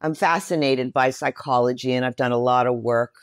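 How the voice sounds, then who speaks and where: A woman's voice: extremely low pitch, fairly even intonation, fairly quick, dry recording, studio quality. A middle-aged woman speaking earnestly and reflectively in a casual interview, often affirming what she hears. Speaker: podcast guest, performer and mentor.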